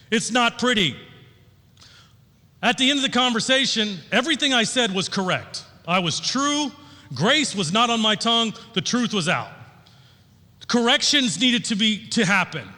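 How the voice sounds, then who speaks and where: Bright, high-voiced male preacher in a reverberant hall. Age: middle-aged. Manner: informal, folksy, candid. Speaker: pastor. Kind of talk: sermon with personal storytelling. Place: large church auditorium, on stage. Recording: long hall reverb from sound system.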